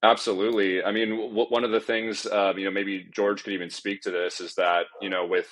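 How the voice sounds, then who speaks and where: A man speaking as a panel guest on live sound, explaining off the cuff with halting, repeated phrasing. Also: on the low side, little pitch movement, fairly bright tone. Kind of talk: panel discussion, unscripted explanation.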